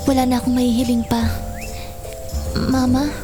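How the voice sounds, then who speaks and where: A woman's voice: high voice, level tone, moderate pace, close to an everyday voice. A Filipino woman playing an affectionate, grateful daughter in an audio drama, sweet and polite in manner. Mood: grateful, loving. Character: affectionate, grateful daughter reunited with her mother. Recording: music bed behind the voice.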